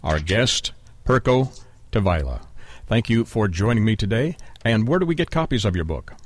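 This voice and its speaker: A low-voiced man hosting an interview show, measured and courteous. His voice is recorded dry and close, with no room sound. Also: very animated, no echo. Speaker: radio show host.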